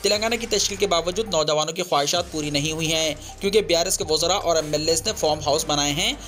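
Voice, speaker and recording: A man's voice: on the high side, fast, a bit bright. A man reads a scripted news bulletin in a formal, declarative way. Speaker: news reader.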